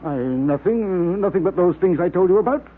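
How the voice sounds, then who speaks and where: Nervy, petulant Egyptologist; high, husky voice, pleading old-time radio melodrama. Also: male voice, lively intonation, normal speed. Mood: evasive, defensive. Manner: agitated, pleading, repeating himself. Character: frightened, secretive, petulant Egyptologist fearing a curse. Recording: muffled, tinny old radio recording.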